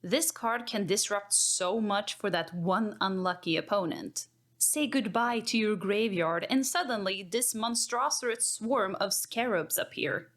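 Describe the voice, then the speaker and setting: A woman's voice: medium pitch, unhurried, a bit bright. A woman playing a cheerful, geeky techpriest who chats informally while narrating card game lore in character. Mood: fond, playful.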